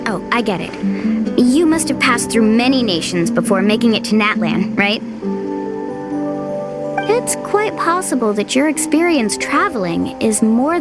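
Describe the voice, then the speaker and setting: A woman voicing a cheerful, easygoing young tour guide in a video game, tactful but firm. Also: on the high side. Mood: bright, encouraging. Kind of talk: video game character acting. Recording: music playing behind.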